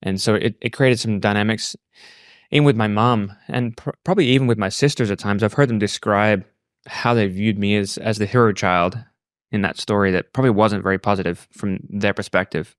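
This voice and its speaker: A man in his thirties with an Australian accent, telling his personal story reflectively and haltingly, repeating words as he thinks. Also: lowish voice, fairly even intonation, dry recording, clean studio sound. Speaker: podcast guest.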